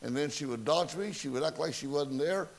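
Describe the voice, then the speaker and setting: A man's voice: fairly high pitch, brisk, gravelly, rough recording. A man preaching a sermon, telling folksy stories and asking the congregation rhetorical questions. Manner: folksy storytelling with rhetorical questions, self-mocking. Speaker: pastor. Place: church sanctuary.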